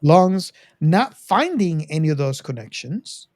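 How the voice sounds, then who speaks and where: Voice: mid-range voice, big pitch swings, measured pace, darkish tone, dry recording. Podcast host with a close, dry sound. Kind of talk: casual movie discussion.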